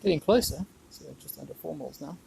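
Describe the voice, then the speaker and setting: Male DIY presenter, creaky voice, unscripted workshop chat, thinking aloud over clear background noise and clicking. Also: fairly high pitch, expressive, quick pace. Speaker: DIY woodworking video maker. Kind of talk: casual hands-on workshop commentary.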